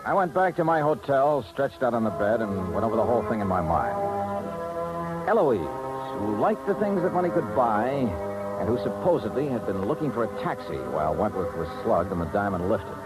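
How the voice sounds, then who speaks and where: A wry hard-boiled male detective, swinging freely in pitch. His delivery is laconic and cool in 1950s radio style, with loud music behind him on a vintage recording. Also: big pitch swings, moderate pace, low-quality recording. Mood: brisk, wry narrating. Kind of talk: 1950s radio detective acting, first-person narration and dialogue.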